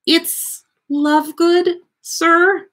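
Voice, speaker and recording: A woman voicing a dreamy, friendly teenage schoolgirl in a storybook, with a bright, airy voice and polite, serene delivery. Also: very high voice, fairly even intonation, very slow, voice pushed up, exaggerated voice.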